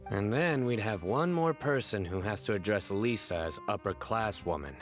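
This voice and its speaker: An actor voicing a composed, formal young man in video game dialogue, measured and deadpan. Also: on the low side, soft background music, natural voice. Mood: dry.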